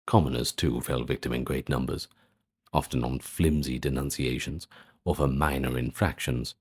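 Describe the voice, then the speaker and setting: A man narrating a scripted history lecture in an ASMR style, measured and explanatory, with brief asides. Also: a bass voice, steady tone, a little mellow, husky.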